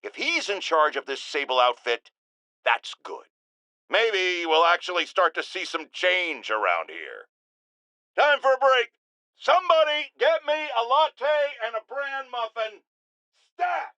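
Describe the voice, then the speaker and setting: A pompous middle-aged American radio pundit from a video game. His voice sits fairly high and swings widely in pitch. He delivers self-righteous, opinionated monologues with theatrical, ranting emphasis, in a dry studio sound. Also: sing-song, measured pace, pushed and bright, no room sound, full character voice. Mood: indignant. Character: blustering, self-righteous middle-aged male radio talk-show host. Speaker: radio talk-show host.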